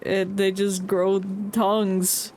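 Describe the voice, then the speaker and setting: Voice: medium pitch, little pitch movement, slow pace, darkish tone, slight background noise. A video game streamer giving casual live commentary, rambling off into goofy asides. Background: game music.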